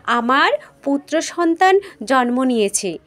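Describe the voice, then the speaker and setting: A woman's voice: high voice, lively intonation, unhurried, fairly bright tone, pitched below the performer's own voice. A woman storyteller voicing an earnest, questioning male fire god in a mythological tale. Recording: music behind the voice.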